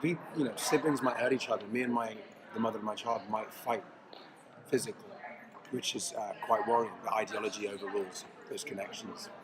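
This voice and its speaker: A man answers interview questions in a fairly low voice, pausing and restarting as he thinks. A loud convention crowd roars behind him the whole time. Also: fairly even intonation, poor audio. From London, England. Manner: thoughtful, restarts often. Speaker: actor interviewed about his series. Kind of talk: casual press-junket chat. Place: busy convention floor.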